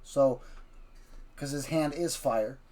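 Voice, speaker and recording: A man hosting an improvised story session with a child, wry and gently teasing as he narrates. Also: medium pitch, slow. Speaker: host, parent telling a story with a child.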